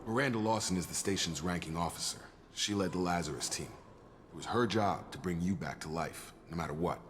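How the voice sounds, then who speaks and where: An actor playing a steady, loyal young soldier in a video game, plain-spoken and informal with an American accent. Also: male voice, low voice, a little fast, slight background noise, lightly acted. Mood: matter-of-fact, explaining. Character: steady, loyal male soldier and station security chief.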